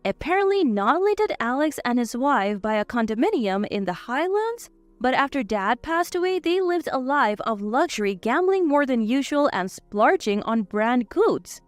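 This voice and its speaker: A woman's voice: high-pitched, big pitch swings, moderate pace, no echo. A woman reading a scripted, first-person dramatic story as its narrator. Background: faint background music.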